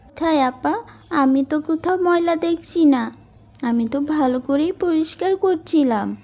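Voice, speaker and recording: A young village girl who works as a maid, voiced by a woman in a cartoon moral tale. She is devoted, earnest and polite, with a high, mellow voice. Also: measured pace. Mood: meek, polite.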